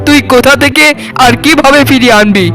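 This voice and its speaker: Very high-pitched reproachful village brother played by a man. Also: a little fast, sharp tone, exaggerated voice. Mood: hurt, angry. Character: stern, wounded elder brother in a village household. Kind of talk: melodramatic cartoon dialogue. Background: faint music.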